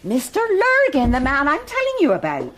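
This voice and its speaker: Indignant, swooping British complainer. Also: female voice, high voice, wildly swooping pitch, moderate pace, a little hiss or hum. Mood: prickly. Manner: persistent, fussy, complaining. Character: indignant, nagging female British householder. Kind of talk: radio crime drama acting.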